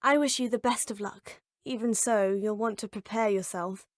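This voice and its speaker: A woman's voice: medium pitch, steady tone, normal speed, no echo, studio quality, close to an everyday voice. A woman with a British accent voicing a curious, polite young witch student in a video game. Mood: earnest. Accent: British English. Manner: polite, proper, crisp phrasing. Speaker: player character. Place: recording studio.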